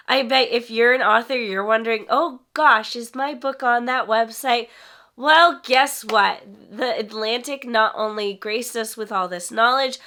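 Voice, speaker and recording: A woman author hosting her own video channel, talking straight to viewers in an animated, indignant way while congested from a cold. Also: a little high. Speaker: author and YouTube host. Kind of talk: direct-to-camera vlog commentary.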